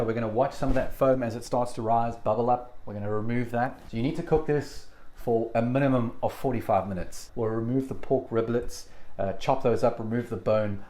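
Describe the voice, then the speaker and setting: A man's voice: medium pitch, darkish tone, slight background noise. A man, hosting a casual cooking video in a home kitchen with chatty, self-deprecating jokes. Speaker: cooking show host.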